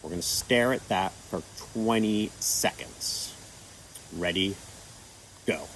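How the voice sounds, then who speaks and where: A man gently walking children through an activity with patient, step-by-step instructions and a few fillers. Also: low voice, slow pace, a little hiss or hum, poor audio. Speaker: children's storytime presenter. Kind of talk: guiding kids through an activity.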